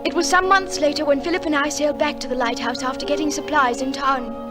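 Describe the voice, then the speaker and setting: Actress as tender young woman, high voice, earnest, measured, emotive radio play storytelling, music behind. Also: steady tone, close to an everyday voice. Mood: wistful narrating.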